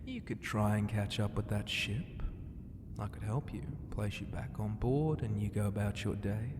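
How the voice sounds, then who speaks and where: A man voicing a flirty, mighty ocean god in an ASMR roleplay, teasing and grandiose, with a breathy voice that often slips into whispers. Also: lowish voice, moderate pace, close to an everyday voice. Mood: coaxing, flirty. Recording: noisy ambience with long reverb.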